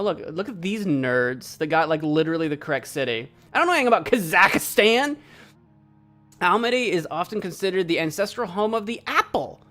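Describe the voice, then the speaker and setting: Lively, joking woman streamer. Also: lowish voice. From United States. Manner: chatty, joking, laughs often, thinks aloud. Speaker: game streamer. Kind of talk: casual live-stream commentary.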